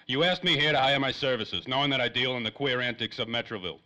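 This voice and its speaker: A man's voice: medium pitch, fast talker, bright, forceful tone, low-quality recording. A man playing a hardboiled, wisecracking private detective in a 1930s-style radio drama, with clipped tough-guy talk. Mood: wry, tough.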